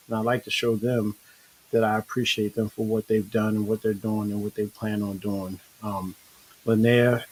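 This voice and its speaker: A middle-aged man hosting a casual online talk show, relaxed and rambling with many restarts. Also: lowish voice, slightly rough, rough recording. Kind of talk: casual live-stream talk.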